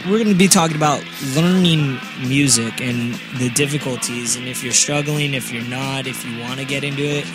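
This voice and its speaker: A man's voice: mid-range voice, normal speed, few pauses, over music, rough recording. A man in his twenties hosting a podcast in a slangy, joking, casual chat style. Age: 20s. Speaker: podcast host.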